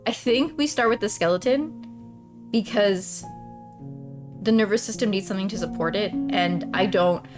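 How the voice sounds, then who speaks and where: A woman reads a visual novel aloud and chats casually as she plays it. Her pitch is middling, and she often trails off mid-thought in an informal way. Music plays behind her voice. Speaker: streamer playing and reading a visual novel. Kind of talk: casual let's-play commentary mixed with read-aloud narration.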